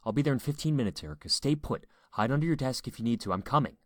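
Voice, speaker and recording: A man's voice: medium pitch, animated, a little fast, no room sound, clean studio sound, voice pushed up, natural voice. A man voicing a tender, protective father and husband in an audiobook story, earnest and anxious. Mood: worried, urgent. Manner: earnest, emphatic.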